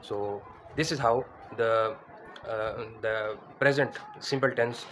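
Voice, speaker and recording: A man's voice: lowish voice, fairly even intonation, takes it slowly, a bit bright, a little hiss or hum. A man, teaching English grammar in a hesitant way, with many fillers and restarts. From Pakistan. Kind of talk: spoken grammar lesson. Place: indoors against a plain wall.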